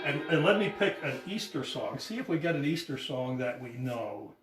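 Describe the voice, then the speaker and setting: A man explaining and demonstrating informally as a guest, often halting and repeating words as he goes. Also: mid-range voice, animated. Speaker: guest explaining the church bell system. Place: inside a church, roomy. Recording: echoey room, distant mic, music behind.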